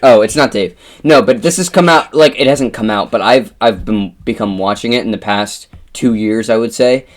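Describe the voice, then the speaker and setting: A man's voice: fairly low pitch, moderate pace, few pauses. Young American man, casual podcast co-host. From Pennsylvania, United States. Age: young adult. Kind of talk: casual chat among friends.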